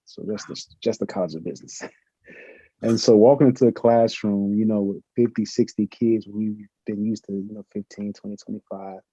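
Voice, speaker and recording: A man's voice: fairly low pitch, warm tone, husky, dry recording. A middle-aged man, a professor, answering interview questions in an earnest, reflective way with many fillers. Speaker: professor and podcast guest. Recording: muffled lo-fi audio.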